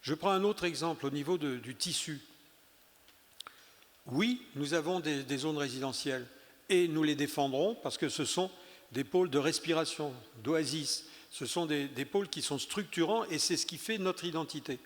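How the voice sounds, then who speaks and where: A man's voice: fairly high pitch, normal speed. A man giving a round-table speech as an elected leader, emphatic, with many restarts and hesitations. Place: conference hall. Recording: long hall reverb.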